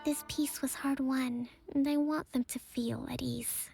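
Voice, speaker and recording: A woman playing a gentle, weary young priestess leader in a video game, speaking softly and hesitantly with a breathy, confiding delivery. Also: sits high, fairly even intonation, measured pace, faint music behind.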